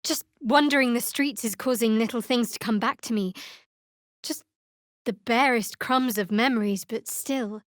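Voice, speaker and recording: An actress playing a guarded, devout young woman cleric of a dark goddess in a fantasy video game, with a measured, wry delivery. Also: on the high side, no echo, studio quality, lightly acted. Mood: reflective.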